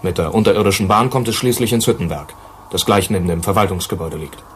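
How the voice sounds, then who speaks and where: An actor in a German radio play, playing an earnest colony manager who explains things carefully. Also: male voice, sits low, quick pace, a little hiss or hum, close to an everyday voice. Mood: matter-of-fact. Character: earnest male colony manager explaining grim working conditions.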